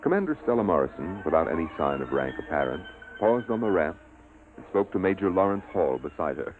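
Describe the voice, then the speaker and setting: A man acting as a grave, deliberate storyteller narrating a 1950s science fiction radio drama. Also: deep voice, big pitch swings. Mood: suspenseful. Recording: dull old radio recording, clear music behind. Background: music.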